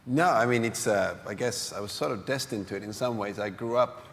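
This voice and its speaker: Quick-talking man from Finland, game entrepreneur, onstage answers, echoing hall. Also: fairly low pitch. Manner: fast, earnest, many restarts. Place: conference hall stage. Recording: long hall reverb.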